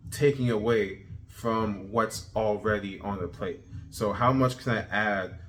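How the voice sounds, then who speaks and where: A thirty-year-old man talking casually in a self-improvement video journal, energetic and repeating himself as he pushes his point. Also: on the low side, slight background noise. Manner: energetic, repetitive, self-motivating. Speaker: vlogger.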